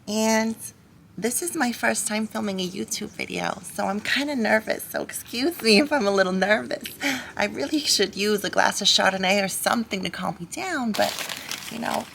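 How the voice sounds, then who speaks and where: A woman voicing a nasal suburban cartoon mom who is nervous and edgy, chatting haltingly as if hosting a craft vlog. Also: mid-range voice, vocal fry, a bit bright, slight background noise, rough recording. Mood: nervous, bright, then chatty, wistful. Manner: halting, chatty, rambling with salty asides. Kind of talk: parody craft vlog in character.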